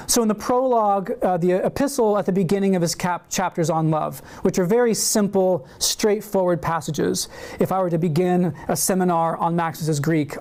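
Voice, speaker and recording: A male university lecturer reading aloud from a prepared paper. His delivery is measured and deliberate, with an occasional filler between clauses. He speaks as if to a seminar room. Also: sits high, a little mellow.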